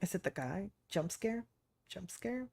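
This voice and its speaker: A woman's voice: mid-range voice, expressive, measured pace, a little mellow, slightly rough. A young woman chatting casually and loosely as she streams a video game. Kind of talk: casual livestream chat while playing.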